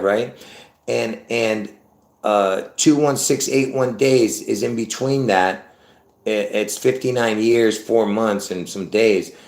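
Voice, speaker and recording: A man in his fifties hosting a live stream, talking in a rambling, insistent way and repeating himself as he addresses viewers as folks. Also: on the low side. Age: 50s. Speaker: livestream host. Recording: roomy echo.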